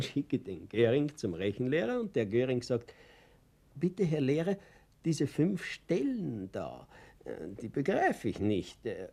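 A man's voice: fairly low pitch, warm tone, husky, exaggerated voice. An actor playing a naive, chatty older Bavarian man who boasts to a child in a radio play, rambling and confiding. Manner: rambling, chuckling, confiding storyteller.

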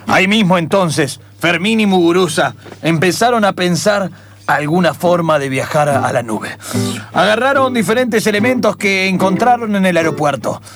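A man narrating an improvised radio play in dramatic, halting phrases. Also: sits high, expressive, a bit bright, faint music behind.

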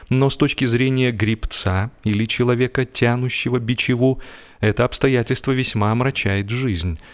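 A man narrating humorous literary prose in a wry, measured, expressive theatrical manner. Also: fairly low pitch. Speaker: audiobook narrator.

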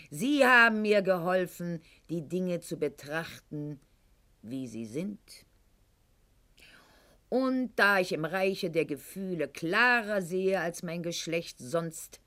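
An actress playing a proud, sharp-witted aristocratic lady in a radio play monologue, speaking with haughty irony and elaborate eloquence. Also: on the low side. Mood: cool, haughty.

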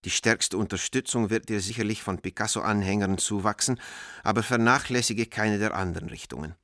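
An actor playing an American art dealer reading out his letters in a radio drama, in a measured, formal way. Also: lowish voice, fairly bright tone, no room sound, clean studio sound. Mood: measured, urgent. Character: American art dealer writing coded letters to an old friend.

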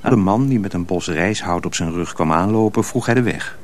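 Guy narrating a radio play, lowish voice, storybook reading. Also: moderate pace, a little hiss or hum.

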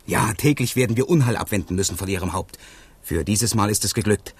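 A man's voice: on the low side, brisk, breathy edge, no echo. An actor playing an earnest, courtly nobleman who is a protective secret friend, in a radio play. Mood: earnest warning. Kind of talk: 1970s radio play acting.

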